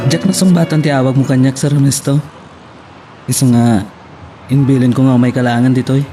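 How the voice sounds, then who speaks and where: A man's voice: medium pitch, steady tone, a little fast, warm tone, faint music behind, close to an everyday voice. A man playing a polite, evasive employee in an Ilocano radio drama.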